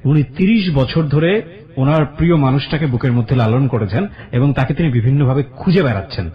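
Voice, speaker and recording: Fast-talking man hosting radio. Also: mid-range voice, lively intonation. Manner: quick, reflective commentator. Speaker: radio host. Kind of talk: radio interview. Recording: dull lo-fi radio sound.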